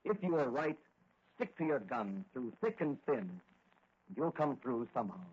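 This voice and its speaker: A man's voice: mid-range voice, sing-song, measured pace, a little mellow, breathy edge. An actor playing a confident, hearty young-hero patrolman in an earnest 1940s radio acting style. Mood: earnest, solemn. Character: confident, cheerful heroic male patrolman crime-fighter. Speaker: lead actor in radio serial. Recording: muffled lo-fi vintage radio recording.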